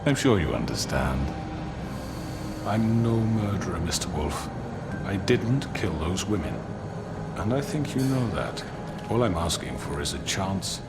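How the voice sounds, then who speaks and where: An actor playing a calculating crime boss who poses as a respectable businessman, speaking in measured, formal, condescending phrases for a noir thriller game. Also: low-pitched, big pitch swings, creaky, heavily acted. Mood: smooth, insistent. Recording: music behind the voice.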